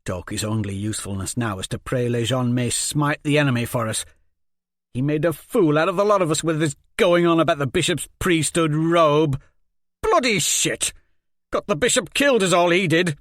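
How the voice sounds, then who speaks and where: A man's voice: medium pitch, a little slow, hardly any pauses, a bit bright, no echo, clean studio sound, natural voice. A man reading a story, voicing a bitter, foul-mouthed teenage boy who spits insults. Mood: bitter ranting. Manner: spitting, sneering insults.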